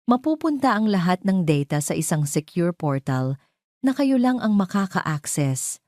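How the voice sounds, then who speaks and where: A woman's voice: fairly low pitch, big pitch swings, a little slow, darkish tone, dry recording, studio quality. A Filipino-accented woman voicing a businesslike male tech expert in his thirties, explaining matter-of-factly in an audio drama.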